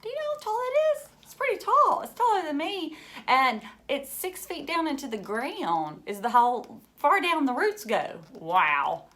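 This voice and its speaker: A woman teacher reading a picture book aloud to young children, pausing for enthusiastic asides, simple explanations and questions to her listeners. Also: high-pitched, sing-song, a bit bright.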